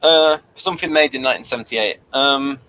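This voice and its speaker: A man hosting a casual episode review, speaking in a halting, hesitant way. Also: medium pitch, pushed and bright, low-quality recording. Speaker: review host.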